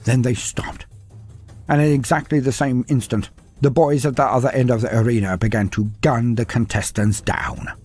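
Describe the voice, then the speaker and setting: A man narrating a scripted fantasy tale in a theatrical, deliberate storytelling manner with florid phrasing. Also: mid-range voice, fairly even intonation, a little mellow, husky, faint music behind.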